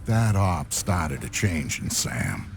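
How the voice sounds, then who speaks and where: Game narrator: loyal, world-weary male veteran, low register, terse and deliberate storytelling over music. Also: animated, heavily acted. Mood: grave, reflective. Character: world-weary former soldier, loyal friend recounting past events.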